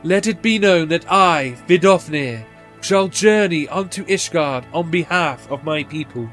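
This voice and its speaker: A man's voice: on the high side, animated, unhurried, a bit bright, faint music behind. A man voicing a proud ancient dragon envoy in a video game, speaking in solemn, archaic, formal phrases. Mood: proclaiming. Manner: formal archaic speech, stately.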